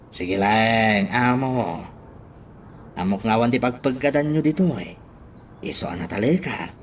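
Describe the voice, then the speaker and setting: A man's voice: fairly low pitch, lively intonation, unhurried, slight background noise. An actor playing an obedient driver speaking to his boss in a radio drama.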